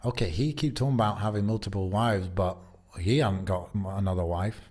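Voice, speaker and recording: Lowish voiced guy cohosting a podcast, reflective, measured. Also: a little mellow, breathy edge. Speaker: podcast co-host. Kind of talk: casual podcast chat.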